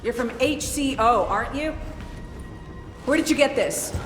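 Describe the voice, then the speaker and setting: Give this voice to a hard-edged female agent in a video game. She is blunt and demanding, with a fairly high voice. It rings in a reverberant hall over clear background music. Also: measured pace. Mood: interrogating. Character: tough, commanding female agent interrogating a survivor. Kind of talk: video game cutscene acting.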